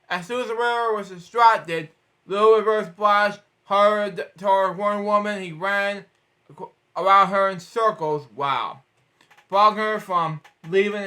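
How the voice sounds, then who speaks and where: A man's voice: sits high, bright, forceful tone. A man reading a children's storybook aloud, stumbling over words and breaking off for rambling asides of his own. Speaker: storybook reader.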